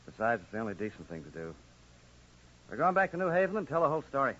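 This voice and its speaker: A man's voice: mid-range voice, big pitch swings, quick pace, a bit bright. A man playing an earnest, plain-spoken young prizefighter in colloquial 1940s radio drama style. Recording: muffled, noisy 1940s radio recording.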